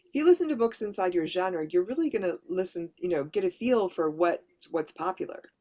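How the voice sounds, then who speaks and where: Woman, lower pitched, practical presenter explaining things step by step to a workshop audience. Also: expressive, brisk, mellow. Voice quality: mid to lower female voice. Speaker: workshop presenter and audiobook narrator.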